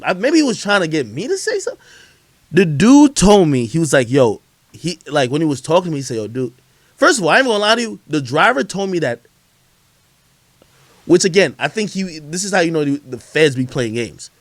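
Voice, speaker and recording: A man hosting a casual livestream about hip hop, with a fairly high voice that swings widely in pitch. He talks in loose slang, swearing freely as he spins out stories and opinions. The sound is dry, with hardly any room in it. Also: sing-song, brisk, dry recording. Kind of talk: casual livestream chat, storytelling.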